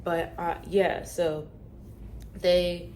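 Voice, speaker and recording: A woman in her thirties co-hosts a casual podcast chat, her voice sitting a little low. She rambles through a story with lots of ums and likes. A faint hiss runs under the recording. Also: flat delivery, very slow, darkish tone. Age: 30s. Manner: rambling storyteller with many fillers. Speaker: podcast co-host.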